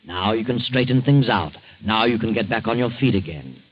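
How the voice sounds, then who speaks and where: An actor playing a sinister, taunting narrator of a 1940s radio mystery, slow and ominous in delivery. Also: male voice, fairly low pitch, animated, no room sound. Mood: mocking, ominous. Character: the Whistler, sinister mocking male storyteller. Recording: dull old 1940s radio recording, music behind. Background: eerie music.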